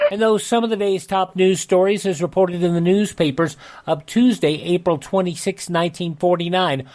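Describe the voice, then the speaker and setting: A man's voice: on the high side, a little mellow. A man hosting a radio show, reading old headlines as a scripted announcer. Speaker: radio host and news reader.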